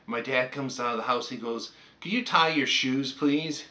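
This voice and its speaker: A man's voice: mid-range voice, moderate pace, sharp tone. An older man with a Canadian accent, chatting casually and anecdotally as he reminisces like a hobbyist presenting on a vlog.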